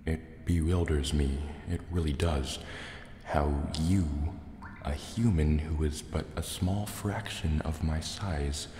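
An extremely low, dark-toned sea serpent dragon , played by a man, echoes through a cave. He is huge, proud and wary, murmuring soft threats with deliberate care in an ASMR roleplay. Faint water ambience sits behind the long reverb. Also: a little hiss or hum, exaggerated voice. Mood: bewildered, guarded. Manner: slow, deliberate, threatening yet hesitant. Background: water sounds and cave ambience.

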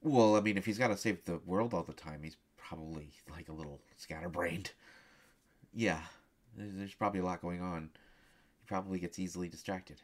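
A man playing a flustered, lovestruck young journalist in an audio roleplay, speaking in halting, hesitant stammers. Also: sits low, close to an everyday voice. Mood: nervous, evasive. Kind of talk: one-sided audio roleplay acting.

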